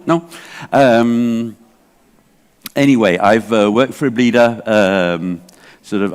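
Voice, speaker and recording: A man in his sixties with an English accent, giving a chatty, digressive conference talk full of fillers. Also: fairly low pitch. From England. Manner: chatty, digressive, self-deprecating, many fillers. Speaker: library association director. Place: large hall. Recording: long hall reverb.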